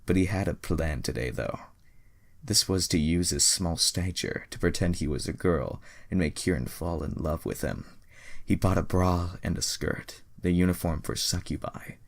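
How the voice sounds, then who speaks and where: Young male reader, bass-low and fry-heavy, sarcastic, sweary, mock-dramatic delivery of amateur fiction. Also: moderate pace. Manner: sarcastic, profane asides. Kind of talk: mocking dramatic reading of amateur fan fiction.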